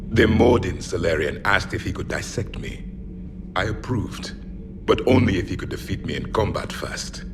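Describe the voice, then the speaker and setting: An actor playing a proud ancient alien warrior in a video game, speaking formally, deliberately and with deadpan bluntness. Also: male voice, fairly low pitch. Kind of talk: video game character dialogue. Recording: clear ambient background noise.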